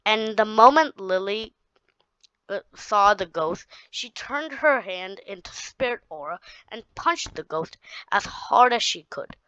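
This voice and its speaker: Slow, halting woman storyteller. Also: medium pitch, lively intonation, sharp tone, smooth, no echo. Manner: halting, with frequent restarts and repeated words. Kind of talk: reading her own story aloud. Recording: dull, dry lo-fi recording.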